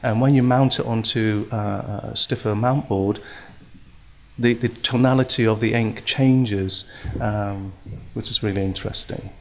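A man's voice: on the low side, steady tone, a little slow. A middle-aged man from Hong Kong giving a hesitant talk at a symposium, with many fillers and restarts, into a stand microphone in a large hall. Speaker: speaker at a symposium. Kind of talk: conference talk.

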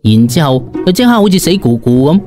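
A man with a Cantonese accent tells a story in the first person. His voice is fairly high, and he narrates in a colloquial, dramatised style over soft music. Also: very animated, fairly quick.

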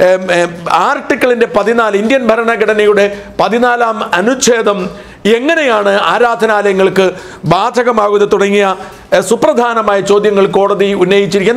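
A man, presenting news commentary to camera from a small studio, explaining at length with frequent "eh" fillers and restarts. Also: high-pitched. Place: small indoor studio office.

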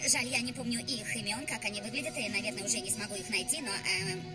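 A woman voicing a cheerful, forgetful blue fish in an animated film dub, chatting eagerly and rambling. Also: mid-range voice, quick pace, far from the mic, over loud music, lightly acted. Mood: flustered, chatty.

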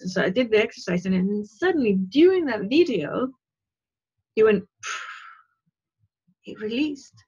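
A woman's voice: medium pitch, animated, measured pace, pauses often, warm tone, slightly rough. A woman in her fifties giving a casual spiritual talk from her living room over a video call, earnest and rambling, checking in with "right?" and "you know". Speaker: spiritual teacher. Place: living room at home, indoors. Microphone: wired earphone mic on a video call. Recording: dull lo-fi call audio.

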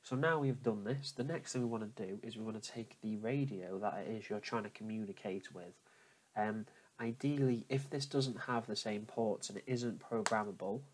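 A man talking viewers through a software tutorial step by step, in an informal, explanatory manner. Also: fairly low pitch, moderate pace, slight background noise, low-quality recording. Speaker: tutorial host. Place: indoors at a computer, voice over screen capture.

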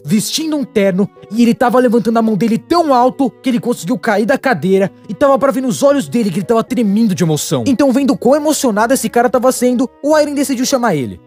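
A Brazilian man voicing a young, wry cartoon storyteller in a chatty, animated dubbed narration. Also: very high-pitched, quick pace, hardly any pauses, faint music behind, exaggerated voice.

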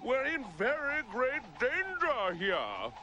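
Very high, fretful alien attendant. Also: male voice, wildly swooping pitch, slow, muffled recording, background noise, exaggerated voice. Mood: urgent pleading. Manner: fretful, pleading, formal. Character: anxious, long-suffering alien royal attendant. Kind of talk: animated cartoon acting.

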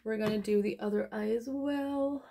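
A woman's voice: on the high side, fairly even intonation, normal speed, vocal fry, mellow, a little hiss or hum. A young woman chatting casually through a makeup tutorial, rambling with many fillers. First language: Dutch. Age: young adult. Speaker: beauty vlogger. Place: small bedroom, indoors.

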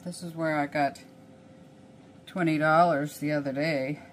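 A woman's voice: low-pitched, fairly even intonation, unhurried, a little hiss or hum. A woman in her sixties from Canada, chatting casually to a phone camera in her small home, rambling and thinking aloud as she goes. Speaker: vlogger. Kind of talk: casual vlog chat. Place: small cluttered home kitchen and bedroom, indoors.

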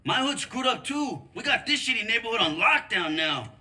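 Very high, animated Chicano gangster. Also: male voice, normal speed, heavily acted. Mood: urgent, pleading. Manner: excitable, urgent, slangy. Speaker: video game character. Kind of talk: animated game cutscene dialogue with Spanglish slang.

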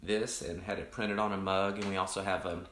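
A man, a pastor casually chatting with online viewers in a rambling, reflective way, his voice deepened by allergies. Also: fairly even intonation, a little fast, fairly bright tone, reverberant, slight background noise. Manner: rambling, many restarts and fillers, greets viewers by name. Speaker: pastor hosting an online coffee chat.

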